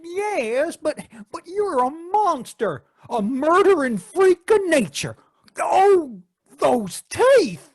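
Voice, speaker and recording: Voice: extremely high pitch, very animated, slow pace, dry recording, studio quality, full character voice. A performer playing a timid, horrified husband in a radio horror play, stammering and aghast. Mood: terrified. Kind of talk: old-time radio horror acting.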